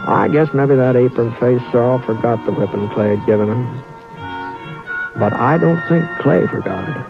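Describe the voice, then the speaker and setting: An actor playing a calm frontier cowboy who also narrates the tale, talking in a measured, reassuring way. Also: male voice, mid-range voice, vocal fry, natural voice. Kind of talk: 1950s radio drama acting. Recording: dull old radio transcription with music.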